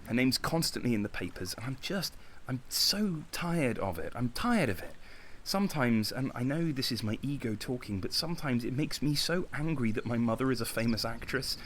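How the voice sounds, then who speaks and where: A man acting an impassioned, insecure young artist in an audio drama. His voice sits at a middling pitch and his delivery is intense, agitated and bitter, broken by dramatic pauses. There is faint noise on the recording. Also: lively intonation, a bit bright, close to an everyday voice. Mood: wounded, self-pitying. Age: young adult.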